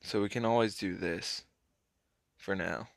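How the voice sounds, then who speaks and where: Slow, hoarse young man mumbling through gameplay. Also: lowish voice, dry recording, studio quality. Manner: laid-back, mumbled, trailing off. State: hoarse, keeps clearing throat. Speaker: mobile game player. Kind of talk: casual gameplay commentary.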